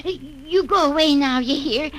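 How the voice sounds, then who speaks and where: An actress playing a fussy, brisk old American small-town grandmother in a 1950s radio drama. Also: high-pitched. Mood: indignant.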